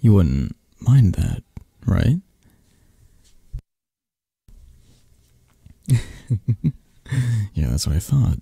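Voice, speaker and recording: Slow, husky, mellow man acting a tender mafia boss. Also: sits low, sing-song, no room sound, clean studio sound, full character voice. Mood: flirty, coaxing. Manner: hesitant, murmuring, coaxing, with soft chuckles. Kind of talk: ASMR romantic roleplay, intimate and soft-spoken.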